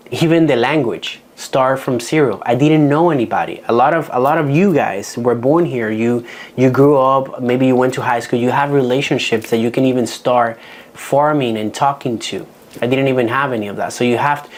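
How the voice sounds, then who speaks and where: A man with a Dominican Spanish accent, Spanish his first language, giving a seminar on real estate deals in a frank, practical way with frequent restarts. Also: medium pitch. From Dominican Republic. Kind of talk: seminar talk.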